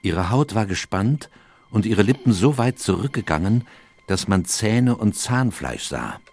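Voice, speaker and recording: An actor playing a detective's companion, narrating a radio play case in a grave, measured manner. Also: male voice, fairly low pitch, lively intonation, vocal fry, darkish tone, exaggerated voice. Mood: grave, shaken. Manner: sober, measured storytelling. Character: Doctor Watson, male companion recounting a detective case gravely.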